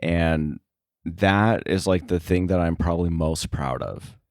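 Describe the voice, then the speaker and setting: A man's voice: low-pitched, unhurried, dry recording, studio quality. A man talking casually as an interview guest, explaining his sound editing work in a thoughtful, somewhat hesitant way. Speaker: podcast guest, sound effects editor.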